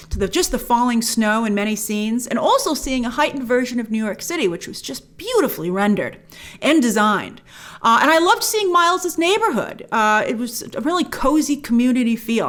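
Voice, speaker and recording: Animated, high-pitched woman reviewer. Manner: emphatic, informal phrasing. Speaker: film and game review show host. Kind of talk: opinionated video review, conversational commentary.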